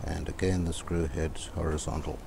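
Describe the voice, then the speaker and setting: A man from Australia narrating hands-on repair work casually, unhurried and halting as he thinks aloud. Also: rumbling low, flat delivery, creaky, background noise. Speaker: radio restorer presenting his own repair videos.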